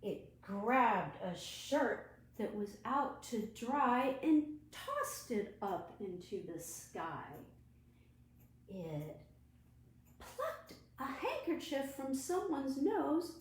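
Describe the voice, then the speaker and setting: A woman children's librarian reading aloud to young children in a deliberate, expressive, sing-song way. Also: a little high. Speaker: children's librarian hosting storytime. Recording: roomy, echoing room sound.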